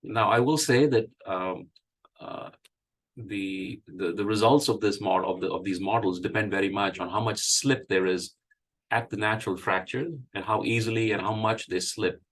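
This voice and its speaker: Man, hoarse from a cold, very low husky voice; halting technical presenter. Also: steady tone. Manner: halting, with many fillers and restarts. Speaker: engineering presenter. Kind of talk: technical presentation at an online meeting.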